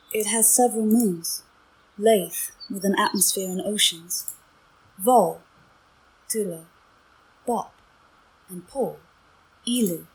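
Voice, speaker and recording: A synthetic woman's voice with a husky texture, serving as an AI companion in a game. She explains things in a measured, informative way, as if reading from a script. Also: mid-range voice, rough recording. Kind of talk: informative scripted-sounding narration.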